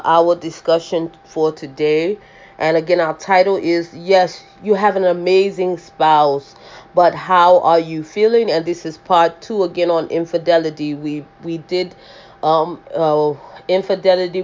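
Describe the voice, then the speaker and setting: A woman hosting a faith-based podcast as an apostle, with a loud voice, talking in a rambling way with many restarts and fillers. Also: lowish voice, dull audio.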